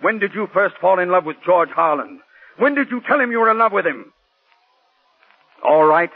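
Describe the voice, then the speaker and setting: Bitter old-time radio district attorney, pushy and formal, kinda high raspy voice, muffled 1940s sound. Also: male voice, lively intonation, normal speed, exaggerated voice. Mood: accusing, pressing. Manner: forceful, accusatory, formal. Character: relentless, bitter male district attorney cross-examining in court. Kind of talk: 1940s radio drama acting.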